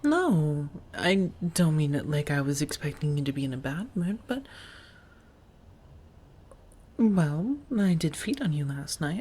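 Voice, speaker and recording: A woman acting as an affectionate female vampire roommate in an ASMR roleplay. Her voice is low and husky, with soft breath around the words. She speaks intimately to one listener, teasing gently and looking after them. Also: full character voice. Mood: tender, concerned. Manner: gently teasing, drawn-out phrasing, soft chuckles.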